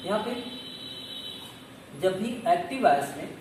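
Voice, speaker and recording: A man's voice: sits high, expressive, unhurried. A man with a North Indian accent teaching grammar in a didactic classroom style, often asking questions and answering them himself. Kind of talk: classroom-style lesson in Hindi with English terms. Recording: very echoey room, distant mic, faint noise.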